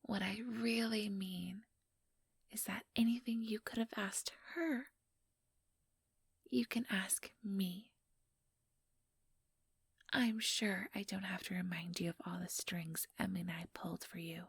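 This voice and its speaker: A woman voicing an ambitious, teasing vampire in an ASMR roleplay, breathy and whispery, with a sugary, mocking condescension. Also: mid-range voice, fairly even intonation, slow pace, pauses often, no room sound, clean studio sound, full character voice. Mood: smug, scheming. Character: ambitious, teasing female vampire, smugly scheming.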